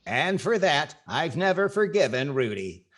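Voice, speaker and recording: A man's voice: fairly high pitch, very animated, a little slow, crowd noise. A man acting as a dry, wry comedian host and narrator in scripted 1940s radio comedy.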